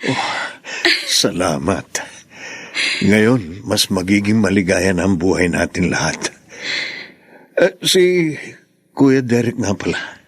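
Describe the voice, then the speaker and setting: A considerate, calm man in a radio drama. His sincere voice is low and slow, with a husky edge. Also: dry recording, heavily acted. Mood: relieved, caring.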